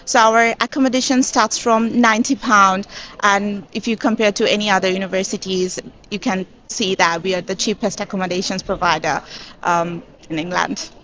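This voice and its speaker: A woman's voice: medium pitch, fairly even intonation, normal speed, fairly bright tone, poor audio. Enthusiastic woman from Bangladesh. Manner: enthusiastic, promotional. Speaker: university staff member. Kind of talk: answering interview questions. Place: busy education expo hall.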